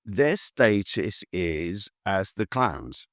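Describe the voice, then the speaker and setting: Deep, unhurried man reading poetry expressively. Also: sing-song, no echo, clean studio sound. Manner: measured, deliberate phrasing with dramatic pauses. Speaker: poet and podcast host reading own poem.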